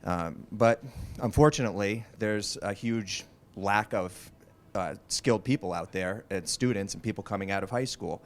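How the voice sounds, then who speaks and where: A man with a slightly low voice addresses a community meeting through a handheld microphone. He talks haltingly, full of "um"s and restarts, in an earnest public-talk manner. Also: a little hiss or hum. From Vermont. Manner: halting, many fillers and restarts. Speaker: school program speaker. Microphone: handheld mic.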